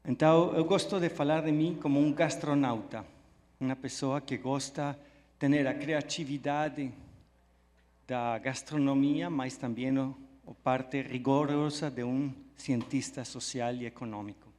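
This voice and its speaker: A man from Belgium, a native French speaker, gives a conference talk in Portuguese full of Spanish words. His voice sits at a middle pitch, warm and eager. It rings with the long reverb of a big hall. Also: steady tone, unhurried. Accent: French and Spanish, speaking Portuguese mixed with Spanish. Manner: friendly, enthusiastic, mixing Spanish words into Portuguese. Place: large hall at a live event. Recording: echoey hall sound.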